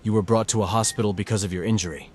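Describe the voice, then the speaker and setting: A man playing an earnest, troubled young doctor in a horror audio drama, speaking in a sober, measured way. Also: lowish voice, steady tone, darkish tone, a little hiss or hum, close to an everyday voice. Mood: calm, urgent. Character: troubled young male doctor, earnest and guilt-ridden narrator. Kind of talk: horror audio drama dialogue and first-person narration.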